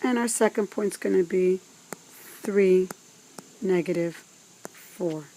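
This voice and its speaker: A woman teaching math step by step, speaking in a deliberate, instructive way with pauses between phrases. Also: fairly low pitch, warm tone, low-quality recording. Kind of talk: scripted step-by-step instructional narration.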